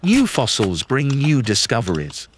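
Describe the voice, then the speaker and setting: A man reading a children's nonfiction picture book aloud in a clear, patient, teacherly way. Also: mid-range voice, wildly swooping pitch, a little slow, slight background noise. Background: background music.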